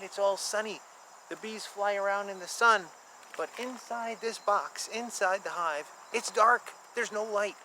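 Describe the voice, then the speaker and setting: A man with a high, bright voice reads a picture book for children. He moves between the story text and simple, informal explanations with an animated delivery. There is clear ambient noise behind him. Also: low-quality recording.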